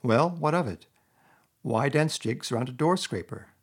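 A man's voice: medium pitch, expressive, normal speed, husky. A man voicing a sulky, literal-minded mole in a storybook, with a pettish, complaining manner. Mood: unimpressed. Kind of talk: storybook character voice.

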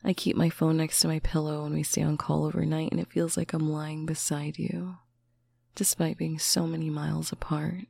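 A speaker in a slow, intimate roleplay, talking softly to a faraway partner on a bedtime call, often dropping into a whisper. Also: medium pitch, little pitch movement, gravelly, no room sound. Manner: soft, affectionate, often whispered. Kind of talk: intimate roleplay monologue to the listener.

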